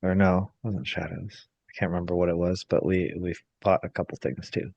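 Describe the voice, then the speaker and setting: A man's voice: deep voice, little pitch movement, a little fast, vocal fry, a little mellow, lo-fi sound, a little hiss or hum. A man playing a tabletop game, thinking aloud in a casual, hesitant way. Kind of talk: casual, halting game talk.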